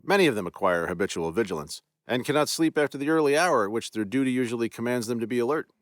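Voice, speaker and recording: A man's voice: medium pitch, lively intonation, fast talker, no echo, clean studio sound, pitched above the performer's own voice, close to an everyday voice. A man voicing a courteous young nobleman who plays host to a friend, with a genial, solicitous manner.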